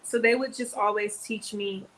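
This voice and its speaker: An American woman speaks casually in a mid-pitched voice as a live stream guest. There is some faint noise behind her on the audio. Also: fairly even intonation, normal speed, a bit bright, poor audio. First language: English. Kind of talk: casual chat. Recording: clear background noise on livestream audio.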